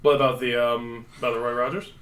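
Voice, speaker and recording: A man's voice: mid-range voice, level tone, quick pace, fairly bright tone. A man chatting casually and improvising role play as a player in a tabletop game.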